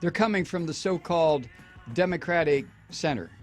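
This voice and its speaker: A man's voice: fairly high pitch, lively intonation, moderate pace, soft background music. An older man hosting a political talk show, delivering indignant commentary with frequent restarts and stumbles. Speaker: radio talk show host.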